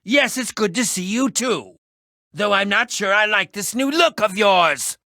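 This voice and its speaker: An actor voicing an eccentric, excitable middle-aged adventurer in a video game, with a pompous, wordy, theatrical manner. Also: male voice, high voice, unhurried, no room sound, studio quality, heavily acted. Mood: eager. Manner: theatrical, pompous, wordy, swinging from muttering to exclaiming. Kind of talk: animated video game voice acting.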